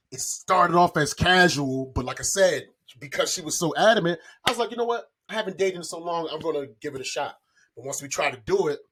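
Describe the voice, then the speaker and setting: A man's voice: medium pitch, a bit bright, husky. Candid forty-something man, casual podcast talk. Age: 40s. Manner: candid, slangy, rambling.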